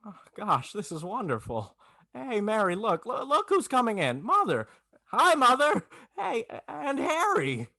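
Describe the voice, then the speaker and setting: A man's voice: very high-pitched, huge pitch swings, unhurried, vocal fry, bright, forceful tone, no room sound, full character voice. Male actor as stammering, big-hearted family man for 1940s radio play; swinging intonation, close dry recording. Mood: overjoyed, flustered. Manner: stammering, folksy exclamations. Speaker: amateur actor.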